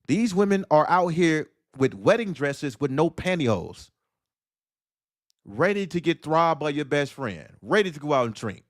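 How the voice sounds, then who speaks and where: A man's voice: a little high, sing-song, unhurried, no echo, clean studio sound. A man hosting a solo audio livestream, delivering a blunt, preachy monologue that fires off rhetorical questions. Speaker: livestream host.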